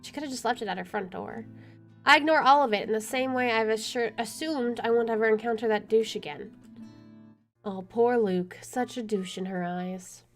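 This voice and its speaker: A woman's voice: on the high side, normal speed. A woman streaming a game, reading visual novel narration aloud and adding casual asides. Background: soft music.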